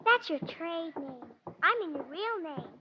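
A blunt little know-it-all girl, really high voice, prim, hissy muffled old radio play. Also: animated, unhurried. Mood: skeptical, curious. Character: skeptical, precocious, matter-of-fact little girl. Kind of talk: 1940s radio acting.

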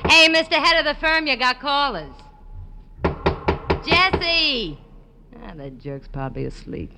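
An actress playing a tough, jaded young American gangster's moll in 1950s radio drama, slangy, snappy and defiant. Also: female voice, sits high, sing-song, unhurried, loud music behind the voice, exaggerated voice. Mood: brassy, casual. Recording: noisy, clicky vintage radio recording.

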